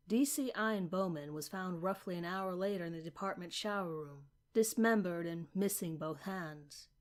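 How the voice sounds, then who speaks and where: Woman narrator, formal and clinical. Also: mid-range voice. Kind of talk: scripted horror narration, read as an official transcript.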